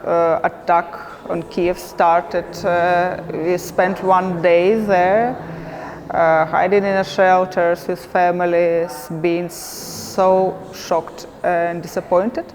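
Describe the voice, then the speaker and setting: A woman with a Ukrainian accent speaking hesitant, halting English as an artist in a documentary interview, in a reverberant room. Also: lowish voice, little pitch movement, darkish tone. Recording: reverberant room, faint background noise.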